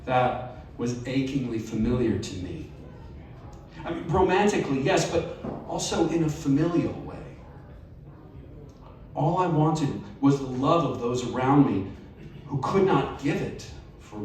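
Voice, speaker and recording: A man reading a personal essay aloud at a live event, measured and expressive with wry emphasis. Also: medium pitch. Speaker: story reader. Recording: very echoey live room, distant mic.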